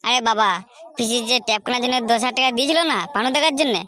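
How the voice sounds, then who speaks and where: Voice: medium pitch, racing, pushed and bright, close to an everyday voice. A performer voicing a cheeky, whining, foul-mouthed grown son in a comedy cartoon, brash and complaining. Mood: pleading, cheeky.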